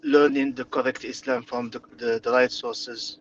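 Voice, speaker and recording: A man's voice: medium pitch, steady tone, moderate pace, fairly bright tone, highs cut off, a little hiss or hum. A man from Morocco whose first language is Arabic, speaking hesitantly with many fillers and restarts as an interview guest. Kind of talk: conversational interview.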